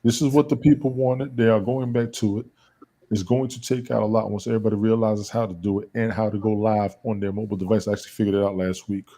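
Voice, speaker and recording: Male guest, husky, low pitch, insistent and repetitive in casual chat. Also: steady tone, fast, dark tone. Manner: emphatic, repeats points.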